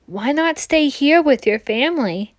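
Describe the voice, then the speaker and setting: A woman's voice: sits high, expressive, measured pace. A woman reading the part of a concerned chief in a children's storybook, an adult brother earnestly pleading with his sister.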